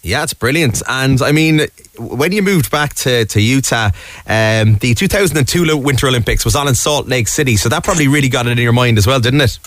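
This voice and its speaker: Friendly radio interviewer. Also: male voice, mid-range voice, a little fast, fairly bright tone. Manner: friendly, wordy questions.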